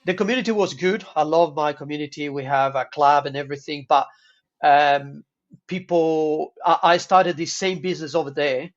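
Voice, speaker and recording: A man from Chile with a Chilean Spanish accent, speaking English as a second language in a halting, friendly casual chat over a video call. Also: on the high side. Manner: halting, searching for words, friendly. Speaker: team supporter and maker. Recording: dull lo-fi call audio.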